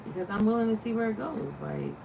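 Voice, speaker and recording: A woman's voice: on the high side, lively intonation, fast talker, creaky, distant mic, background noise. A woman in her late thirties hosting a livestream, talking casually and animatedly, preaching and firing off rhetorical questions. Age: 30s. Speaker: livestream host.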